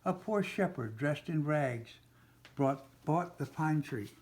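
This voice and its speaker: An older man reads a children's story aloud in a somewhat high voice. He goes haltingly, catching and correcting small slips. The room is echoey, with a faint noise underneath. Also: lively intonation, a little mellow. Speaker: parent reading a storybook aloud. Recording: roomy, echoey home recording with faint noise.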